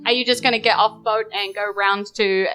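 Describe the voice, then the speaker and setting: A woman's voice: mid-range voice, steady tone, a little fast, sharp tone, faint music behind. A woman, improvising narration as game master in casual banter at a live show. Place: small live venue.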